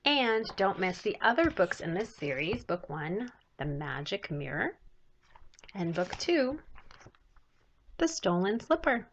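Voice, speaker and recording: A woman in her thirties reading a children's story aloud in an expressive way, as an author would. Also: medium pitch, slow, a little hiss or hum. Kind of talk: storybook read-aloud, scripted narration. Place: small home room, indoors. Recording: dull lo-fi webcam audio.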